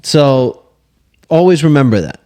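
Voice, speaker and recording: A man's voice: fairly high pitch, lively intonation, measured pace, a little mellow. A man co-hosting a casual faith podcast, talking in a preachy, exhorting yet conversational way. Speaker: podcast co-host.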